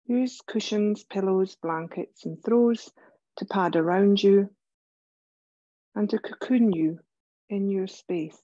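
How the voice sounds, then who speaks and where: A woman leading a guided relaxation meditation as a yoga teacher, gentle and soothing, speaking in measured phrases with pauses. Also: medium pitch, no echo, dull audio.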